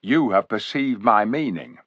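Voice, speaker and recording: A man's voice: on the low side, animated, unhurried, a bit bright, dry recording. A man voicing a cold, scheming army captain who speaks in a lofty, condescending way. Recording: dull, dry recording.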